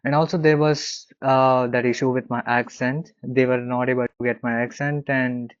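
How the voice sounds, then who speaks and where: A man with an Indian accent, a consulting client speaking haltingly with many fillers on a video call. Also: medium pitch, level tone, clean tone, no echo, highs cut off.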